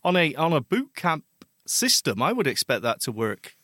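A man's voice: on the high side, big pitch swings, normal speed, pushed and bright, dry recording, studio quality. A man co-hosting a tech podcast in easygoing, agreeable casual chat. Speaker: podcast co-host.

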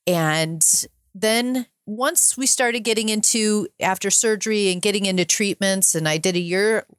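A middle-aged woman with a calm, soothing, measured way of talking, sharing her personal story as an interview guest. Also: mid-range voice, dry recording, studio quality. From northern climate region. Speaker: podcast guest.

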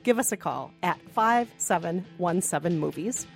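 A woman's voice: fairly low pitch, expressive, moderate pace, gravelly, soft background music. A woman co-hosting a film podcast in a casual, conversational style. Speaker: podcast co-host.

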